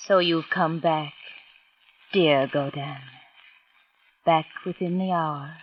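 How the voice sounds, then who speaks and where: An actress playing a rapt, sinister, devoted lover in a 1940s radio horror drama. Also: female voice, low voice, fairly even intonation, slow pace. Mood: rapt devotion. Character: devoted, sinister wife plotting with her uncanny lover. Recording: dull, noisy vintage radio recording.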